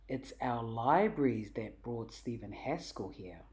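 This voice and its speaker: A woman's voice: a bass voice, expressive, a little slow, darkish tone. A woman voicing a friendly, patient father who explains things in a storybook. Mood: easygoing.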